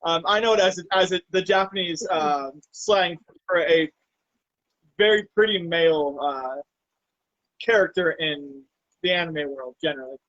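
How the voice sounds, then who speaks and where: A man chatting over a video call from his hot tub, talking in a halting way with many restarts. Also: a little high, a bit bright, no room sound, muffled recording. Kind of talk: casual chat. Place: home hot tub, outdoors in a storm.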